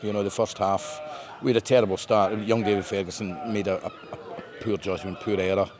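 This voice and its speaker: A man's voice: on the low side, steady tone, fairly quick, crowd noise. A Scottish man in his fifties, a football manager speaking upbeat and rambling after a win. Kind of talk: post-match interview. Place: bare indoor room with painted brick walls.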